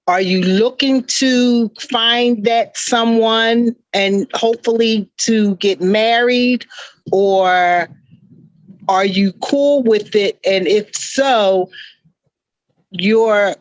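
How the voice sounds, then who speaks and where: A woman's voice: mid-range voice, dry recording, dull audio. A woman in her fifties chatting casually as a talk show co-host. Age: 50s. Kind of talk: casual chat, asking questions.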